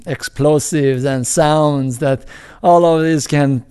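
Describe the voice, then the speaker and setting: A man with an Iranian accent, a film director speaking as an interview guest in a halting way, with many restarts, fillers and chuckles. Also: a little high, fairly even intonation, mellow, clean tone. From Iran. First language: Farsi. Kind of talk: casual interview talk.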